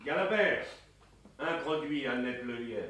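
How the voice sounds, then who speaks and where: A stern police commissioner in a French mystery drama. His medium-pitched male voice is brusque and authoritative, sceptical and unwilling to bend. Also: animated, unhurried, fairly bright tone, a little hiss or hum. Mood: commanding. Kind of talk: French radio mystery play acting.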